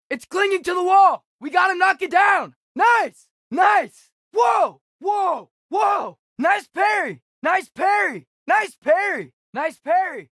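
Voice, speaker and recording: A man's voice: very high voice, gravelly, sharp tone, no room sound, clean studio sound, exaggerated voice. A young male actor with an American accent voicing an eager, earnest teenage boy archer in video game combat callouts. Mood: alarmed, urgent, then excited. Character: eager teenage boy warrior archer, loyal, quick to react.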